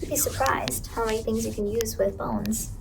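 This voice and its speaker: A woman acts out a creepy ice cream truck clown in an ASMR horror roleplay, doting and coaxing. The voice is highish and soft, often slipping into whispers and soothing repetitions. Clear background noise sits behind it. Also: fairly even intonation, normal speed. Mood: doting, playful. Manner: coaxing, soothing, repeats short phrases.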